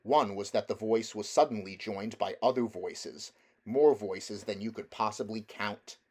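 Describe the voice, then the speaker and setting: A man reading a children's novel aloud as a narrator, in a measured, deliberate storytelling manner. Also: lowish voice, darkish tone. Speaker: audiobook reader.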